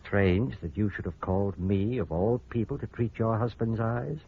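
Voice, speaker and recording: An actor playing a controlled eye surgeon with a bitter streak, measured and authoritative in an old radio drama. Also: male voice, fairly low pitch, husky. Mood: pointed. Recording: muffled old radio recording with hiss.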